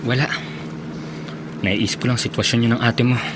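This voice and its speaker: A man's voice: medium pitch, steady tone, normal speed, background noise, lightly acted. A man playing a pushy, dismissive young Filipino boyfriend in a radio drama, cajoling and emphatic. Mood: troubled.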